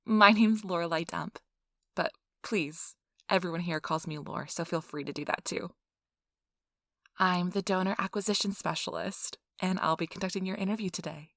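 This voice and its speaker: Low-pitched woman acting as a reassuring ASMR interviewer. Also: moderate pace, a bit bright, no echo, studio quality. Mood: upbeat, reassuring. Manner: polite, reassuring, customer-service patter. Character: cheerful, earnest woman donor recruiter for vampires. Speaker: donor acquisition interviewer. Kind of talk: soft-spoken ASMR role-play.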